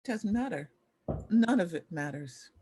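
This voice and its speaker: An actress playing a bitter, resigned former child star in a dramatic table read. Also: female voice, on the low side, animated, measured pace, warm tone, husky.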